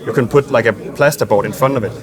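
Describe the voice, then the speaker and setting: A man's voice: mid-range voice, fast, crowd noise. A man giving technical explanations as an expert in a casual interview, hesitant and repeating words as he goes. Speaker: acoustic engineer, interviewed expert.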